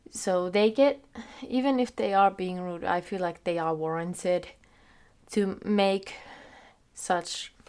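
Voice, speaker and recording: A young Finnish woman with a fairly low voice, a bit under the weather, talks to the camera in a small room. She chats loosely about what she read, thinking aloud with fillers and restarts. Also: takes it slowly, darkish tone, clear, resonant tone. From Finland. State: sick, slightly hoarse. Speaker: book vlogger. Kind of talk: casual chat to camera. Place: small room in front of a bookshelf. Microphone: camera in front at desk distance.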